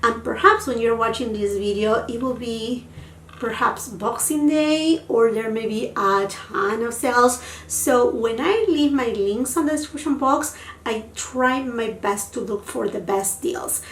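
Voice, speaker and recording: Woman doing a chatty makeup vlog, lots of "you know", a bit high, faint background hiss. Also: measured pace. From Peru. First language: Spanish. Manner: chatty and effusive, many fillers like "you know". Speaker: beauty vlogger. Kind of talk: casual makeup tutorial chat. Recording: roomy sound, mic at a distance, faint noise.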